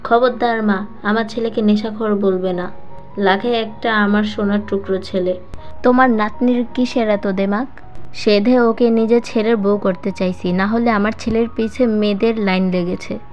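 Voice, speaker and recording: A woman's voice: mid-range voice, steady tone, moderate pace, a little mellow, lightly acted. A woman voicing a proud, haughty middle-aged mother who dotes on her son, in a story reading. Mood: indignant, boastful. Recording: muffled lo-fi voice track with music.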